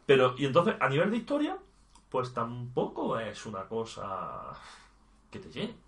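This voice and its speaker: A man's voice: medium pitch, wildly swooping pitch, measured pace, a bit bright, reverberant. A man chatting casually about video games as a podcast co-host, with laughing asides and stammered restarts. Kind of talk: casual gaming chat.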